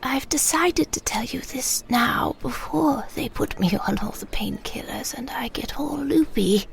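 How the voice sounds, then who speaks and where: Frail, dying old woman, played by an actress, reflective and hushed, unhurried pace, gravelly edge, for intimate radio play. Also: sits high, expressive, heavily acted. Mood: weak, resigned. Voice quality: breathy, whispery, creaky. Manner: slow, halting, reflective storytelling. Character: frail elderly dying mother recalling wartime childhood. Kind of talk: intimate audio drama monologue.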